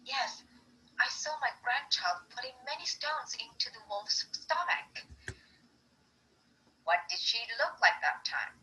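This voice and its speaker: A woman voicing a gentle elderly grandmother who testifies quietly and hesitantly. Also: high-pitched, animated, slow, pauses often, exaggerated voice, rough recording. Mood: shaken.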